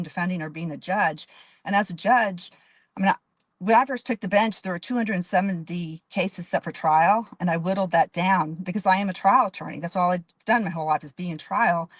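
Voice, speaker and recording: Low-voiced earnest woman judge. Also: no room sound. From Dallas, Texas. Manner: earnest, detailed, frequent restarts. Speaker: judge and candidate. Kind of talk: interview answers.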